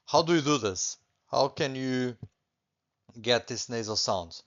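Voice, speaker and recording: A man's voice: medium pitch, animated, measured pace, muffled recording. A Portuguese man with a Portuguese accent, teaching a beginners' language class in a patient, reassuring way that often repeats points and adds "okay?". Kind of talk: online language lesson.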